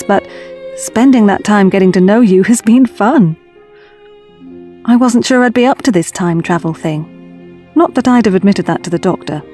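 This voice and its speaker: A woman's voice: mid-range voice, warm tone, close to an everyday voice. A woman playing a caring, troubled young time traveller in an audio drama, earnest and confiding.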